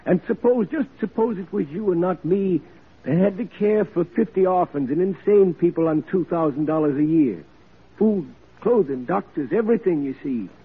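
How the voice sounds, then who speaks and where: A narrow, muffled old radio recording of a poorhouse overseer. His very raspy voice is rather high for a man, defensive and plain-spoken, pleading his case in 1940s radio style. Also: animated, normal speed, heavily acted. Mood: defensive, self-justifying. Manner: self-justifying, emphatic.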